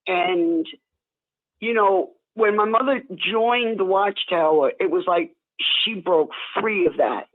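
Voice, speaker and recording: A woman in her seventies with a Long Island New York accent, slowly telling her life story as a call-in guest. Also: medium pitch. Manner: slow, unhurried storytelling. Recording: phone-like call audio.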